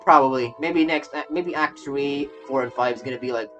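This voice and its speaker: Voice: medium pitch, a little fast, lo-fi sound. A young adult streamer giving casual, rambling gaming commentary and often repeating phrases. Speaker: streamer, virtual YouTuber. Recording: voice over game music. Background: soft game music.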